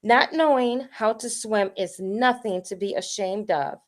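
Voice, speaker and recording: Woman playing a soothing mother llama, unhurried. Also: mid-range voice, close to an everyday voice. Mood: reassuring. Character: gentle, reassuring mother llama.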